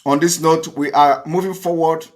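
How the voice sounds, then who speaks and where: A Nigerian man speaks as a political activist broadcasting to his followers. His voice sits a little high, and he is emphatic and defiant, hammering points home by repeating them. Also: steady tone, moderate pace. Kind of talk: impassioned political monologue to camera.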